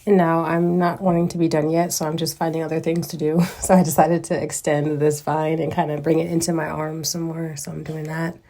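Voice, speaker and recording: A young woman with a raspy voice from a cold, narrating her vlog in an easygoing, meandering way and poking fun at her own mistakes. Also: low voice, flat delivery, fairly quick, hardly any pauses, dark tone. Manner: easygoing, meandering and self-deprecating. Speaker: vlogger.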